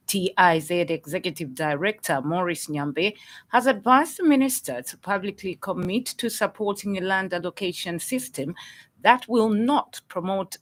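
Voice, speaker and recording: A woman with a Zambian accent reading a scripted radio news bulletin in a formal, measured manner. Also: lowish voice. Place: radio studio.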